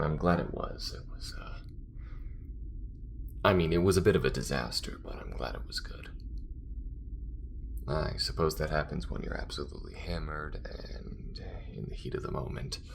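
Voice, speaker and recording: A man playing an alien smuggler in an intimate audio roleplay, tender, wry and worried, speaking in a soft, halting, breathy murmur. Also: a little hiss or hum, heavily acted. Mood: wry, awkward. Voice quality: very deep, gravelly, breathy murmur. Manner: halting, soft-spoken, often dropping to a whisper.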